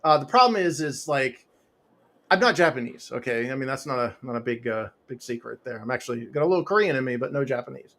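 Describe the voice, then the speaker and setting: A man's voice: medium pitch, lively intonation, fast talker, fairly bright tone. A man in his forties or fifties, a comic author talking as an interview guest in loose, rambling chat full of fillers, restarts and laughs, on a home podcast mic with a pop filter. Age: 40s to 50s.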